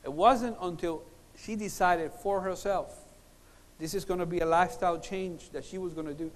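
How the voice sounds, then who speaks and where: Middle-aged man, high-pitched voice, storytelling preacher, emphatic pauses, reverberant hall. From Puerto Rico, born in Cuba. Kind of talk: sermon with humorous personal stories. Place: large conference hall, on stage at a lectern. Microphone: lapel mic. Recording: reverberant hall sound system.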